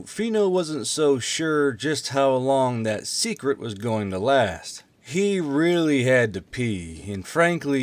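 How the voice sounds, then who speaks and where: A man's voice: medium pitch, no room sound. A man reading a fantasy war story aloud as scripted narration. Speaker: narrator, audiobook reader.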